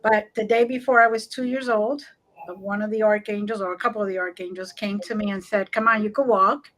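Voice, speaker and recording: Talkative jersey lady in her sixties, slight cuban accent, bright voice, tells stories and plays out the voices. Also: mid-range voice, a little fast, rough recording. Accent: New Jersey with a slight Cuban tinge. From Newark, New Jersey. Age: 60s. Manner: animated, acts out quoted voices. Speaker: guest spiritual practitioner. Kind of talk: casual storytelling in a conversation.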